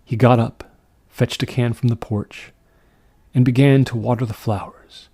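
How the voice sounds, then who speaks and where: A man reads a classic horror story as scripted narration, in a measured, deliberate storytelling manner. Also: mid-range voice, fairly even intonation, warm tone, no room sound. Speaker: audiobook narrator.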